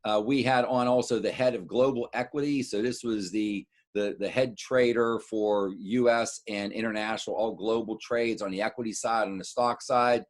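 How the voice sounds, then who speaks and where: American man, middle-aged, lowish pitch, financial adviser, informal client briefing, halting delivery, explanatory asides. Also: steady tone. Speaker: wealth adviser. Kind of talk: informal market recap talk to clients.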